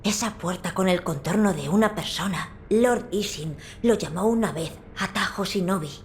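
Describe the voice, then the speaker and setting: A woman plays a young noble lord in a video game, a boy with a calm, solemn way of speaking. The accent is Castilian Spanish and the pitch is on the low side for a female voice. Light noise sits behind the words. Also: close to an everyday voice. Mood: thoughtful. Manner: calm, measured, thoughtful.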